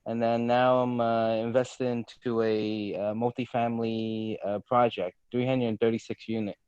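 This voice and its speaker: A man from Hong Kong introducing himself as a property investor on a video call, brisk and upbeat. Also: medium pitch, level tone, a little mellow, clear, resonant tone, no echo. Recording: dull, dry video call audio.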